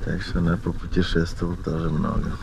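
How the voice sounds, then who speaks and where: Male animal trainer, very low creaky voice, plain explanatory interview delivery, noisy location sound. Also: level tone, fast. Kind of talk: unscripted interview answers.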